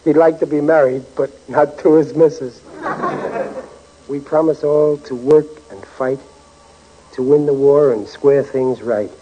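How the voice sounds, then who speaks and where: A folksy, bashful father in 1940s radio comedy, unhurried and a little high for a man. He is hesitant and homespun, heard through a dull, faintly noisy old recording. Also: steady tone, dark tone. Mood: sentimental, jokey. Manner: hesitant, folksy, chuckling at himself. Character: bashful, homespun working-class father, proud amateur poet.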